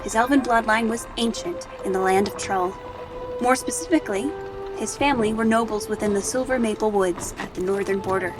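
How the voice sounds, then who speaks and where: A woman's voice: mid-range voice, brisk, music in the background, natural voice, poor audio. A woman playing an earnest young army sergeant, giving dramatic first-person narration of a battle in an audio drama. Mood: earnest, grave. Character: earnest young human female artillery sergeant recalling battle.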